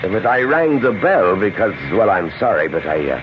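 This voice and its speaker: An actor playing a genial, weary police sergeant in a radio drama, chatting in a folksy, unhurried way. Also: male voice, fairly low pitch, very animated. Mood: friendly. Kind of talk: old-time radio drama acting. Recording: muffled, noisy old radio broadcast recording.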